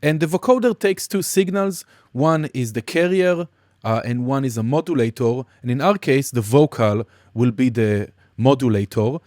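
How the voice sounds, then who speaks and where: A man teaching music production in a casual software demonstration, explaining informally and somewhat hesitantly step by step. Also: mid-range voice, normal speed, dry recording, studio quality. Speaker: music production tutorial instructor.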